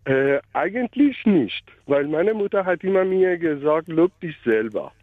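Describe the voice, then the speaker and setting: A man from Iran with a Persian accent, calling in to a radio show and speaking in an earnest, halting way. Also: on the high side, expressive, no room sound. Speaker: caller. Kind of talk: radio call-in. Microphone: phone. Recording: phone line.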